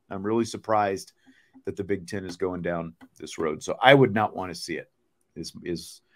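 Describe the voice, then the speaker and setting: A man hosting a sports podcast, chatting casually and thinking aloud as he answers viewer comments. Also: medium pitch.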